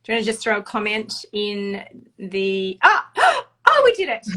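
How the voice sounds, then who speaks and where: A woman's voice: mid-range voice, wildly swooping pitch, normal speed, pushed and bright. A woman, a fertility doctor, hosting a casual live-stream chat in a chatty, upbeat way with frequent laughs.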